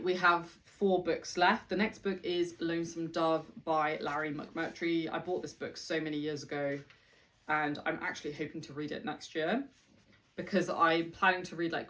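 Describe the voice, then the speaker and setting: Low-pitched woman chatting about books, reading bits out loud, mic a bit far away. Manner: chatty, reads passages aloud with enthusiasm. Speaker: book vlogger. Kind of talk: casual chat with read-aloud passages.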